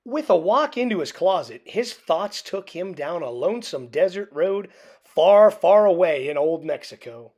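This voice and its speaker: A woman narrating a children's picture book aloud in an expressive, deliberate storytelling manner. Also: lowish voice. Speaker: storybook reader.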